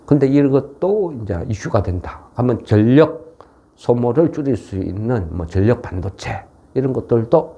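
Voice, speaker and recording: Mellow Korean man with regional dialect. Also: mid-range voice, slightly rough. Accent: regional South Korean dialect, non-Seoul. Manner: folksy, frequent rhetorical tag questions. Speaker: stock market commentator. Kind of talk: informal explanatory market lecture.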